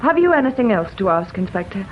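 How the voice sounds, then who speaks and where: An actress playing a guarded daughter who coolly and crisply shields her mother from a police inspector in a radio drama. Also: medium pitch, sing-song, quick pace, a little hiss or hum, lightly acted. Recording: dull lo-fi radio recording.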